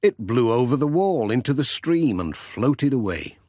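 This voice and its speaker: A man narrating a children's story as an audiobook reader, with expressive storytelling delivery. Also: medium pitch, normal speed, no room sound, studio quality.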